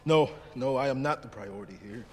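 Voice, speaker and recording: An actor playing a wounded middle-aged male police lieutenant, gruff, weary and commanding. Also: medium pitch, a little fast, close to an everyday voice. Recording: reverberant game audio with faint noise.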